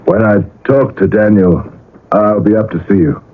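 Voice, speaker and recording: An actor playing an anxious, possessive middle-aged father in a 1970s radio drama, earnest and halting as he pleads. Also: on the low side, fairly even intonation, lightly acted. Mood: tense, pleading. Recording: muffled vintage radio broadcast.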